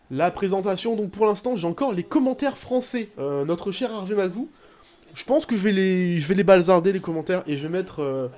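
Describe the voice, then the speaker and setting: Chatty French-speaking man commentating a game. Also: on the high side. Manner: chatty, rambling, bursts into excited shouting at goals. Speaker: gaming YouTuber. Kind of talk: casual gameplay commentary.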